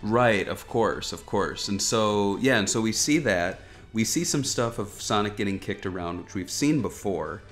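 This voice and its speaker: A man's voice: lowish voice, lively intonation, moderate pace, hardly any pauses, a bit bright. A man hosting a gaming news show, giving casual, wryly joking commentary on a video game trailer. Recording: music behind the voice. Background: background music.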